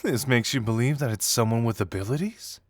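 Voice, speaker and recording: A playful young male detective, acted by a man in an intimate audio roleplay. He is teasing and theatrical, musing aloud to the listener with very animated intonation. The sound is dry, with no room around it. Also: mid-range voice, a little fast, dry recording, lightly acted. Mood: teasing, intrigued. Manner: teasing, theatrical, musing hums and soft chuckles.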